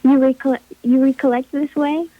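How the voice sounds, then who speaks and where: A woman playing a solemn, commanding spirit in a radio play, speaking in measured archaic phrases through a telephone effect. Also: high voice, fairly even intonation. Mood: gentle, probing. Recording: thin, band-limited phone-line sound.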